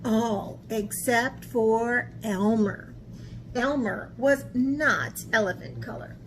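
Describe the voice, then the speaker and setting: A woman hosting a children's picture-book read-aloud as an art teacher, speaking in an animated way and putting questions to young listeners. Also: on the high side, slow, slight background noise, poor audio.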